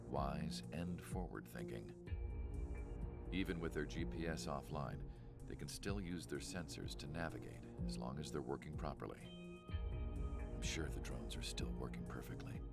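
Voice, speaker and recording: An actor playing a weary, reflective older scientist, narrating in a measured, gravelly voice as a game monologue. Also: male voice, a bass voice. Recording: loud music under the voice. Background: music.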